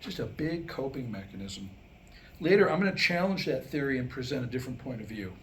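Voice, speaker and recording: A mature man delivering a scripted comic talk to a live audience, telling stories and jokes with deliberate pauses. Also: medium pitch, expressive, a little hiss or hum. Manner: storytelling, deliberate pauses, acting out dialogue in jokes. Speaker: humorist and author. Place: indoor room, live staged reading.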